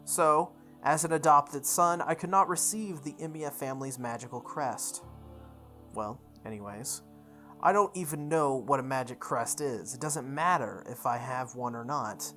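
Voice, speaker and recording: A woman hosting a visual novel playthrough, reading the text aloud in a measured way and commenting casually. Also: extremely low pitch, fairly bright tone, soft background music. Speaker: gaming video host.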